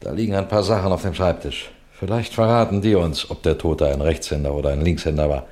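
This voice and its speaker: Low-voiced, shrewd senior detective played by a man. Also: fairly even intonation, a little mellow, natural voice. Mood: probing, musing. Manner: deliberate, didactic, courteous. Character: shrewd, composed senior male police detective, narrating his case. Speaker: detective and narrator addressing listeners. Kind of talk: scripted German radio crime drama.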